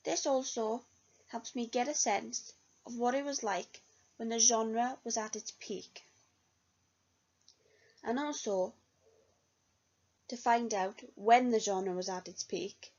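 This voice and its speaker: A woman's voice: fairly high pitch, fairly even intonation, slow, long pauses, darkish tone. A young woman, a music student, reading an earnest reflection on her year of singing study. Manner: earnest, read-aloud delivery. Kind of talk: reflective spoken self-review. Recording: dull lo-fi recording.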